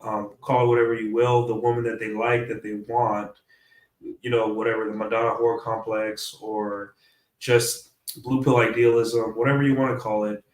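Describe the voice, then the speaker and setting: A man's voice: fairly low pitch, little pitch movement, normal speed, poor audio. Congested man rambling on a livestream. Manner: rambling, keeps asking "right?". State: congested from a cold. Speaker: streamer. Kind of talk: casual livestream monologue. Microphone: desk mic through an audio interface.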